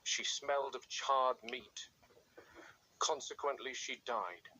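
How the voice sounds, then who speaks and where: A man playing a grim, watchful game warden, narrating a found-footage field log in a measured, grave and deliberate way. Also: mid-range voice, sharp tone, poor audio. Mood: grim, clinical. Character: grim, watchful male game warden logging dangerous captive raptors. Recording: faint background noise.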